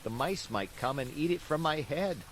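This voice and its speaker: Man doing a teasing little storybook boy, lively. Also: fairly high pitch, moderate pace, a little hiss or hum, voice pushed up, close to an everyday voice. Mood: mocking. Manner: teasing, dramatic. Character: mocking, sensible little village boy. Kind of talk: bedtime storybook character reading. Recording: steady rain sounds behind the voice.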